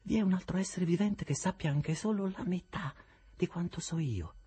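A man voicing a cold, proud young woman who speaks quietly and evenly, often half-whispered, in an audiobook. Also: high voice, normal speed, breathy edge, voice pushed up. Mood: composed. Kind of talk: audiobook reading of a classic novel.

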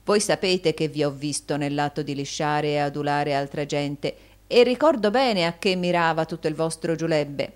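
A woman's voice: low-pitched, normal speed, natural voice. A woman voicing a cold, impatient businessman in an audiobook, speaking in a dry, cutting manner. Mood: sarcastic.

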